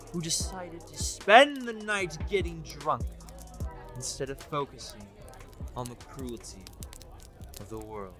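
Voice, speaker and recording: A man performs a self-important young student convinced he is a misunderstood villain. He tells his story slowly and theatrically, with a bright, forceful edge and pompous phrasing. Music plays faintly underneath. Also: a little high. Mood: bitter, fervent. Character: smug, deluded young college man playing Joker, theatrically self-important. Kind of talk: dramatic first-person story reading.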